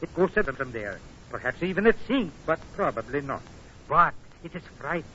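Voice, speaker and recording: An actor playing an earnest foreign ship captain, telling his story dramatically in halting, formal phrases in 1940s radio style. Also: fairly high pitch, very animated, sharp tone, dull audio. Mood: excited, relieved. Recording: noisy, band-limited 1940s radio broadcast.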